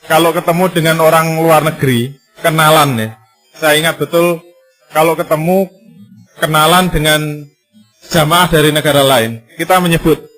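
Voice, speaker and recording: A male Islamic preacher giving a sermon, full of rhetorical questions and emphatic repetition, lively and sometimes joking. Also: a little high. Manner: lively, conversational and jokey in places.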